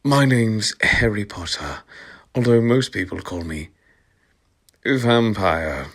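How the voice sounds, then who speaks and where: A man puts on a timid teenage vampire boy for a comic story reading. He is brooding and soft-spoken, slow and husky, mumbling shyly. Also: lowish voice, animated, heavily acted. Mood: shy, gloomy.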